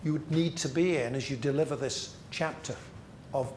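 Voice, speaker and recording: A man leading a business training seminar, speaking emphatically with rhetorical questions and deliberate repetition. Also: a little high, a little mellow, a little hiss or hum. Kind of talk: interactive training seminar talk to a group.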